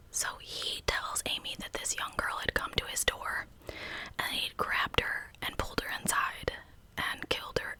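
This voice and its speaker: A woman's voice: sharp tone, poor audio. A woman whispering a true crime story in ASMR style as a channel host, with conversational asides to listeners.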